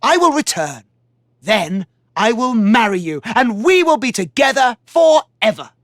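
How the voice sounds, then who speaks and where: A woman's voice: a little high, very animated, measured pace, a bit bright, dry recording, studio quality, pitched above the performer's own voice. A woman voicing a proud young male warrior who speaks in a raised, impassioned voice. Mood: reassuring.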